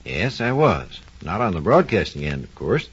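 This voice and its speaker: A man's voice: sits low, very animated, moderate pace, breathy edge, exaggerated voice. A man playing a knowledgeable doctor who recalls radio's early days, in vivid, folksy scripted 1940s radio narration. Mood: reminiscing, animated. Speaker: expert storyteller. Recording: dull, lo-fi 1940s radio recording.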